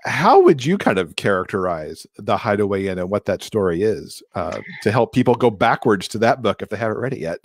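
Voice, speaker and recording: Lowish-voiced middle-aged man hosting. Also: very animated, brisk, few pauses. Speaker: podcast co-host, interviewer. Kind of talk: casual hosting and questions. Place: indoor room, on a video call. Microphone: close podcast microphone.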